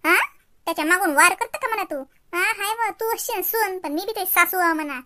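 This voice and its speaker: A woman's voice: extremely high pitch, brisk, background noise. A woman playing a quarrelsome, boastful mother-in-law in a cartoon comedy, scolding in a Varhadi Marathi accent from Vidarbha. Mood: indignant, threatening. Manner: scolding, ranting with rhetorical questions. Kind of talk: exaggerated cartoon comedy acting.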